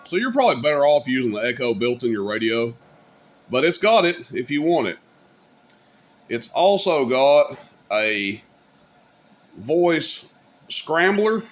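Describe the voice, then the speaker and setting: A man's voice: medium pitch, very animated, a little slow, pauses often. A man giving a casual, folksy, rambling hands-on demonstration as a hobbyist video host. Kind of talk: casual hands-on product demo. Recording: clear background noise.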